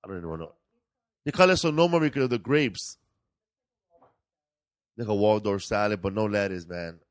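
A man's voice: lowish voice, expressive, moderate pace, no echo. Laid-back man, dry sound. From California. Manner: laid-back, slangy, repetitive, frequent "man" and "bro". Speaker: podcast host. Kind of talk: casual comedic podcast chat.

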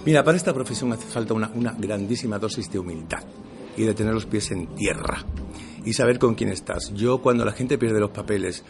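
A man's voice: on the low side, a little fast, over music. A middle-aged man from Seville, an actor, talking casually in an interview and acting out the dialogue in his stories. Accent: neutral Castilian Spanish for work, Andalusian at home. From Seville, Spain. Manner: animated storyteller, acts out quoted dialogue.

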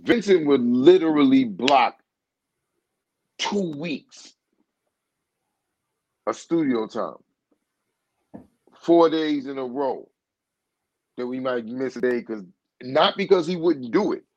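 A man in a casual interview rambles through a story, circling back and restarting his sentences as he goes. His voice sits at a middling pitch. It comes through a muffled, dry recording with little sense of a room. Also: animated, slow pace, pauses often, darkish tone, dry recording. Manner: rambling storyteller with frequent "you know what I'm saying". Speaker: guest singer.

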